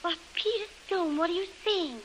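High-pitched coquettish radio schemer. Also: female voice, normal speed, low-quality recording. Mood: indignant. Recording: hissy 1930s radio recording.

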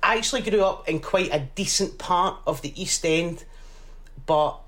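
A woman's voice: lowish voice, normal speed. Chatty Glaswegian woman in her forties. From Scotland. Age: 40s. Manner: animated storyteller who acts out other voices. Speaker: guest. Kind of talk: casual interview chat.

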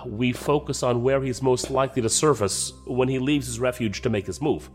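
An actor playing a composed counterterrorism agent in a science fiction audio drama, answering tersely with deadpan humor. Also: male voice, medium pitch, normal speed, soft background music, natural voice.

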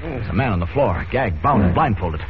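An actor playing an earnest secret superhero posing as a reporter in a 1940s radio serial, brisk and thinking aloud. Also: male voice, lowish voice, animated, close to an everyday voice. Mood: intent. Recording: noisy, muffled old radio transcription.

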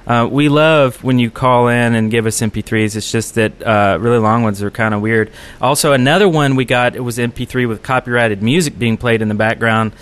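A man's voice: medium pitch, a little fast, hardly any pauses, a bit bright, smooth. A man hosting a gaming podcast in casual conversation, explaining his ideas in detail. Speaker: podcast host.

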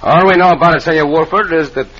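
An actor playing a gallant, confident Mexican cowboy hero with a Mexican accent and courteous, formal phrasing in an old radio western. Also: male voice, on the high side, fast, a bit bright. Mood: calm, defiant. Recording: muffled vintage radio with faint hiss.

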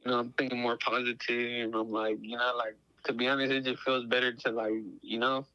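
A man's voice: mid-range voice, flat delivery, a little fast, pushed and bright, dry recording, lo-fi sound. A man in his late teens, a guest speaking hesitantly with many fillers like "like" and "you know".

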